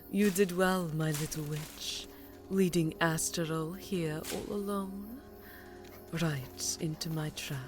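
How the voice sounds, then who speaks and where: Woman acting as slow, smug vampire villainess. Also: fairly low pitch, music in the background. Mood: gloating. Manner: taunting, drawling, deliberate. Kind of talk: ASMR fantasy roleplay acting.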